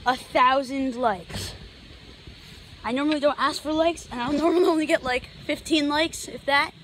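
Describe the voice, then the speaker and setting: A teenage boy vlogging outdoors in the woods on a handheld phone, chatty and excitable, cursing under his breath as he works. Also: very high-pitched, moderate pace, rough recording. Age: teens. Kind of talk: casual outdoor vlog. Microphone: handheld phone camera. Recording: loud outdoor noise on phone.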